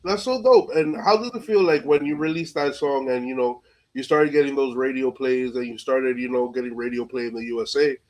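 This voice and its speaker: A man's voice: mid-range voice, fairly even intonation, fairly quick, darkish tone, rough recording. A man hosting an interview podcast in casual, slangy speech, often dropping in "like" and "you know". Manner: informal, peppered with "like" and "you know". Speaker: podcast host.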